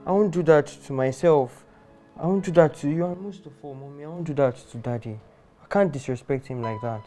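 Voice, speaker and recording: A young Nigerian man as a grown son in a film drama played by an actor. His voice is mellow and soft-edged, and he is evasive, defensive and pleading. There is light music behind him. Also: fairly high pitch, fairly quick, lightly acted. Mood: placating. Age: young adult. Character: evasive, placating grown son under family pressure.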